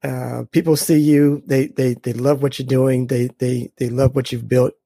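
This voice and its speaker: An enthusiastic male podcast host talks in a casual, upbeat way. He has a gravelly voice with a dark, mellow tone. The recording is dry and close. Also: medium pitch, little pitch movement, moderate pace, no room sound. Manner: upbeat, enthusiastic, repeats praise. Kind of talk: casual interview chat.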